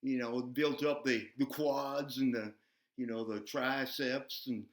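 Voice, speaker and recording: A man voicing an excitable, folksy, bumbling buzzard who hosts a children's show, rambling and repeating himself as he chats. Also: mid-range voice, slow pace, reverberant, lightly acted. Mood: excited, boastful. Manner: folksy, repetitive, easily flustered, giggly exclamations. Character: excitable, folksy, bumbling old farm buzzard puppet host.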